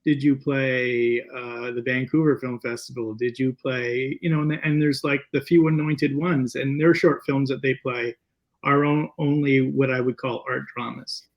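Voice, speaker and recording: A Canadian man talking casually as a filmmaker guest in an interview, plain-spoken and a little halting. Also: mid-range voice, fairly even intonation, highs cut off.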